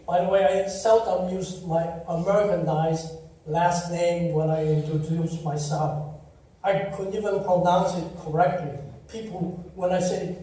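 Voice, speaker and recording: An older man with a Chinese accent, Taiwanese his first language, giving a slow, warm eulogy full of gentle storytelling at a memorial service. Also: high voice, fairly even intonation. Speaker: eulogy speaker. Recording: echoey hall, distant mic.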